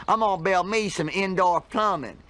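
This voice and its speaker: High-pitched folksy cartoon hillbilly. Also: male voice, moderate pace, sharp tone. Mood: folksy, gleeful. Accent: rural Southern American. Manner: folksy drawl, cackling, whooping. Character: cheerful, rustic hillbilly farmer, simple-minded and folksy. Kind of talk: animated comedy game voice acting.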